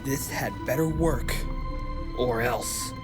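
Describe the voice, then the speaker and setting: A man's voice: medium pitch, slow pace, lightly acted. A man playing a hot-headed, protective young-sounding adventurer in a cartoonish audio drama, emphatic and threatening. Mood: stern threat. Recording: loud music under the voice.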